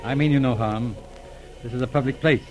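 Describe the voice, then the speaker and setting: An actor in a 1940s radio drama playing a shrewd, calm, middle-aged police inspector with a measured, probing manner. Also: male voice, medium pitch, faint music behind, lightly acted, poor audio. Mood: calmly probing. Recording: old radio drama recording.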